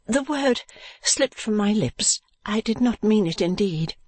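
Audiobook niece: earnest young woman, soft and pleading, high and slow; male narrator puts her on, dry booth. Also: expressive, no room sound. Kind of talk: audiobook character reading.

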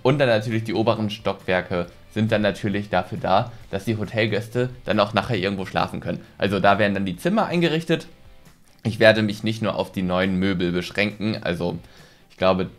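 A man's voice: on the low side, brisk. A man, casually presenting a gaming video as host at a close desk microphone in a small room. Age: 20s. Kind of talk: casual let's-play presenting to camera. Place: small furnished room indoors. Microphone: desk microphone close to speaker. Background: background music.

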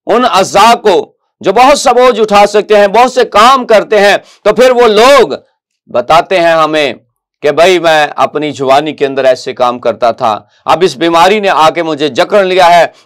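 Middle-aged pakistani preacher, fairly high voice, earnest sermon full of questions, lapel mic in a tv studio. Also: male voice. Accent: Pakistani Urdu. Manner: earnest preaching with rhetorical questions, repeated "my dear ones". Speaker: pastor. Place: TV news studio, indoors.

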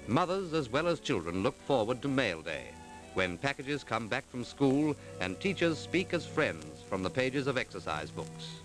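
A man narrates a 1940s documentary with formal, carefully enunciated phrasing. His pitch moves expressively through each line. Music plays behind him on an old film soundtrack. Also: very animated, low-quality recording. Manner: measured, formal, clearly enunciated.

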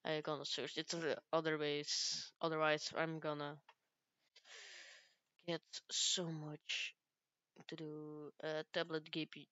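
Casting note: teen girl, low and unhurried, halting English, smooth dry close-mic sound. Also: a bit bright, dry recording, muffled recording. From Belgium. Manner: halting, searches for English words. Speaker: gaming youtuber. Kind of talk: casual gameplay commentary.

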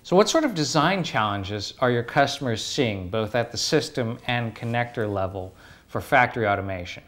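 A man's voice: medium pitch, lively intonation, normal speed, fairly bright tone. A man in his forties hosting an interview and asking questions with an upbeat, enthusiastic manner. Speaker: host. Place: living room set.

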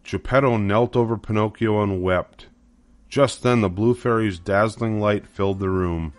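A man's voice: fairly low pitch, moderate pace, no echo. An older man narrating a children's storybook from a script.

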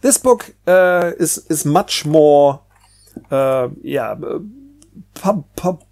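Male, higher-pitched voice, unscripted book reviewer, casual, hesitant, rambling, repeats words. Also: lively intonation, extremely slow, gravelly, a little mellow. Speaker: book review video host.

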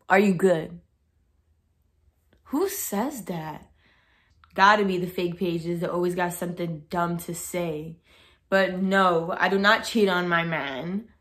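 Blunt young woman chatting to camera. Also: fairly low pitch. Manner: blunt, outspoken, animated with hands. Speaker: vlogger. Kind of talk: casual talk to camera. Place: bedroom, indoors.

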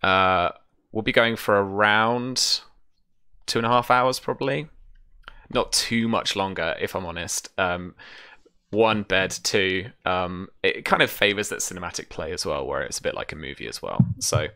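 A British man with a low, bright voice runs a tabletop game stream. He talks informally and reassuringly as he goes through the rules. The sound is close and dry. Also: normal speed, clean tone, dry recording. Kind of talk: casual livestream chat explaining a tabletop game. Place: small room at home, on a video call.

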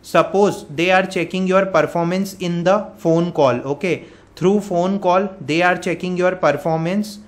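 Higher-pitched Indian man explaining step by step. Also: fairly even intonation, unhurried. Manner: repetitive, step-by-step explaining with frequent check words. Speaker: career coaching presenter. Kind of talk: informal explanatory update for job candidates. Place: voice-over on a screen recording.

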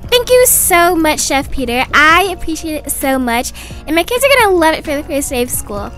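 A woman voicing a doting, chatty young mother of twins in an animated roleplay story. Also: sits high, normal speed, faint music behind. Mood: cheerful. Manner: gushing, chatty, fussing.

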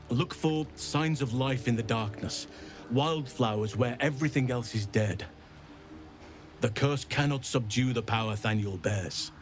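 A burly druid elf from a fantasy game , played by a man, earnest and devoted. He speaks gravely in formal, measured phrases at a middling pitch, with faint music underneath. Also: lightly acted. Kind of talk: dramatic game cutscene acting.

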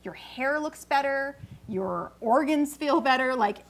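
A woman's voice: sits high, animated, a little slow, low-quality recording. A woman in her early forties, co-hosting a casual talk show from a studio sofa, who explains things at length. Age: early 40s. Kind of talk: casual chat. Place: TV studio set, seated on sofa.

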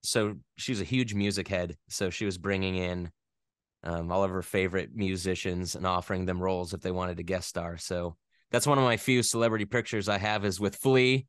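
A man's voice: deep voice, steady tone, moderate pace, clean tone, no room sound. A young adult man in a casual interview, rambling with many fillers and self-corrections. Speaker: voice actor guest.

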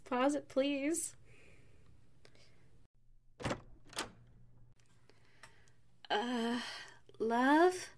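An affectionate young-at-heart wife, acted by a woman in an intimate ASMR roleplay. Her voice is fairly high and she takes her time, speaking softly and playfully to her partner. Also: exaggerated voice. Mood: surprised, uneasy. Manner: soft, intimate, unhurried. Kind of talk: ASMR roleplay, one side of a dialogue.

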